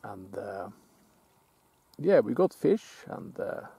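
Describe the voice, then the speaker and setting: Gravelly Norwegian man musing by a lake. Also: on the low side, dry recording, muffled recording. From Norway. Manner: relaxed, musing, halting with restarts. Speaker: outdoor vlogger. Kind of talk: casual self-filmed commentary. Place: lakeside outdoors, off camera.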